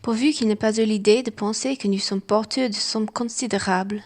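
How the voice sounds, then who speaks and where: A woman's voice: medium pitch, steady tone, normal speed, close to an everyday voice. A woman playing an anxious stranded traveller in an amateur radio play. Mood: concerned.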